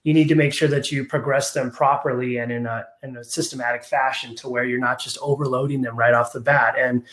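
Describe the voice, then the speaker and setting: A relaxed man in his thirties hosting a laid-back podcast as a youth weightlifting coach. He explains things in long, loose run-on stretches with a teasing edge. The sound carries a roomy echo. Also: mid-range voice, a little fast. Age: 30s. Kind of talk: casual podcast chat.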